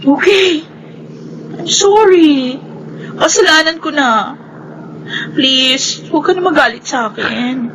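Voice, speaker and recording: Casting: scheming young Filipino woman, high and forceful, melodramatic radio soap delivery, noisy background. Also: slow pace, long pauses. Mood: tearful pleading. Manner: emotional, melodramatic, code-switches into English. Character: scheming young woman, defiant elder sister, pleading girlfriend.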